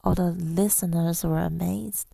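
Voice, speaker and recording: A woman speaks very slowly in a soft, intimate ASMR murmur, with heavy vocal fry through her words. Her voice is mellow and affectionate, husky and often near a whisper. She is close to the mic, as if confiding in a partner. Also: fairly low pitch, fairly even intonation, no echo. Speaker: ASMR audio creator. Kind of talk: intimate ASMR girlfriend roleplay, telling about the day. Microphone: close microphone, dry sound.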